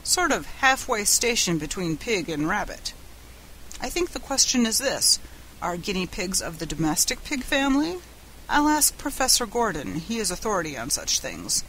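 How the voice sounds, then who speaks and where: A woman's voice: mid-range voice, very animated, moderate pace, fairly bright tone, slight background noise, low-quality recording. A woman voicing a self-assured male company president with an airy, authoritative manner. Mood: light, pondering.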